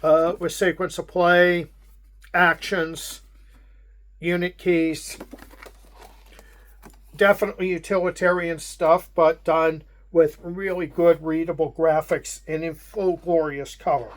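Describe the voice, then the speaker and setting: A man with a Pittsburgh accent, hosting a casual unboxing and walking through the contents in a measured way with frequent pauses. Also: on the high side, level tone. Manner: unhurried, measured, with frequent hesitations. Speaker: wargame channel host.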